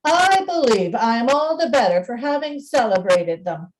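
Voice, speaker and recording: A woman playing a cheerful, warm-hearted young nephew in a radio play, hearty and earnest. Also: fairly high pitch, lively intonation, moderate pace, lightly acted, rough recording.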